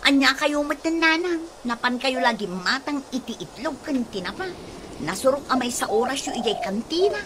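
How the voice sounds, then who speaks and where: Woman acting a gossipy ilocano daughter, really high voice, kinda envious, noisy background. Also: expressive, moderate pace. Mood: surprised, indignant. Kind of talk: Ilocano radio comedy drama acting.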